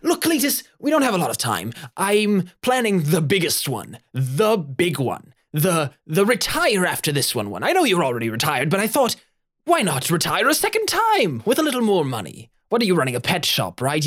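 A woman voicing a stout, jovial, scheming old male crook who rambles and wheedles. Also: sits low. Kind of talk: tabletop roleplay.

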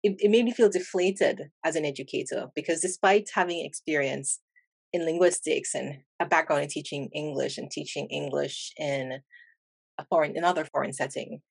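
A woman with a Jamaican accent speaks in a notably low, even voice. She talks in a thoughtful, measured way, close to the mic in a dry room. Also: gravelly, slightly rough, dry recording. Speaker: English teacher and interviewer. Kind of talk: conversational interview.

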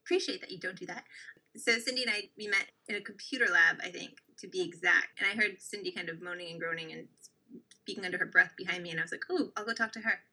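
A young woman co-hosting a casual chat, telling an animated dating story and acting out the quoted lines. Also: fairly low pitch, fast talker, poor audio. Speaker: co-host.

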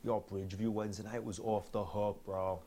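A man's voice: lowish voice, flat delivery, fairly quick, lightly acted. A man playing a brash young Long Island bro in a comedy sketch, slangy and boastful, with faint noise behind. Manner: loud slangy bro talk.

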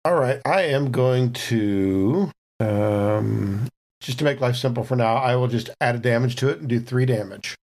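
A man at a tabletop game talks in a middle-pitched voice. He casually explains what his character does, pausing and restarting in deliberate phrases. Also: darkish tone.

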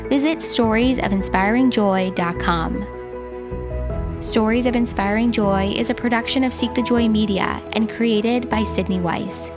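A woman reading a scripted podcast outro in a bright, promotional way. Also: a little high, a little slow. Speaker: podcast announcer. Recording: music bed behind voice.

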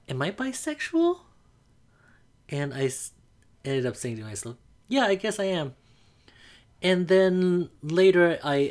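A man, calmly telling a personal coming-out story to the camera, often pausing on "like" as he thinks. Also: medium pitch. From Burma. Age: 20s. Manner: reflective, unhurried, frequent "like" fillers.

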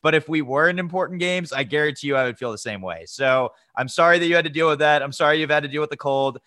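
A man's voice: fairly high pitch, quick pace, pushed and bright, no room sound, studio quality. A man co-hosting a sports podcast in casual chat, rambling and hesitant, with many fillers and repeats. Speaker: podcast co-host.

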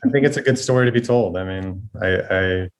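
A man's voice: deep voice, brisk. A man appearing as a podcast guest, talking hesitantly and apologetically in casual conversation, often repeating his words.

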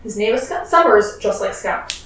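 A soft-spoken woman co-hosting a podcast, recapping a comic in casual chat. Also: mid-range voice, animated, normal speed. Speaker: podcast co-host. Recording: very echoey, distant from mic, faint noise.

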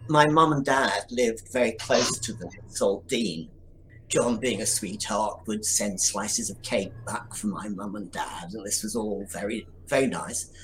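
Low-voiced man telling wry stories. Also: unhurried, a bit bright, slight background noise, poor audio. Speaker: audio drama producer. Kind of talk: anecdotal interview answers.

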